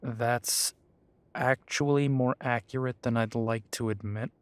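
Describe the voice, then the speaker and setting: A man performs a young half-breed werewolf for an audio drama. His voice sits at a middle pitch, unhurried and recorded very dry. He sounds guarded and blunt, with a hesitant, self-doubting defensiveness. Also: fairly even intonation, clear, resonant tone, no room sound, natural voice. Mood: wry, puzzled. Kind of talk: scripted roleplay audio drama.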